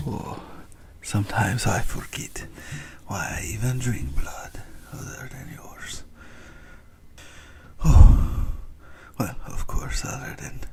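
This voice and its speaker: Casting: male actor as obsessive yandere vampire for ASMR roleplay; low, very gravelly, breathy whispering, adoring and gleefully indulgent. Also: darkish tone, heavily acted. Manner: theatrical, adoring, drawn-out relish.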